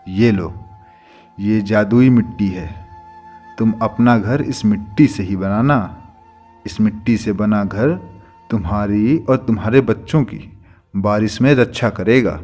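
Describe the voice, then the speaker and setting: A man's voice: lowish voice, unhurried, darkish tone, soft background music. A man playing a solemn, kindly forest sage in a cartoon story, grave and reassuring. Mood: calm, kindly. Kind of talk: Hindi cartoon story dubbing.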